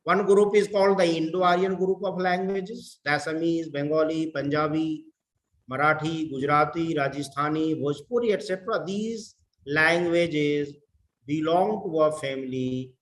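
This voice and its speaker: A middle-aged man from India with an Indian English accent, giving a deliberate lecture to fellow educators, repeating words and mixing in Hindi. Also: a little high, fairly even intonation, echoey room, poor audio. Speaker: professor.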